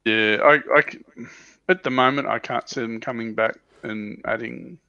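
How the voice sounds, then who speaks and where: Gravelly man on a dull, lo-fi mic. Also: medium pitch, moderate pace, sharp tone, no room sound. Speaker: livestream panelist. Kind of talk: casual hobby discussion. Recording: dull, lo-fi microphone sound.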